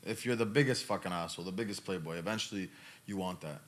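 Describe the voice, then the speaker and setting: A man's voice: low-pitched, quick pace, fairly bright tone. A man in his thirties talking casually as a podcast host, emphatic and given to repeating his phrases. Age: 30s. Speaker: podcast host answering questions. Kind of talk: casual conversational talk.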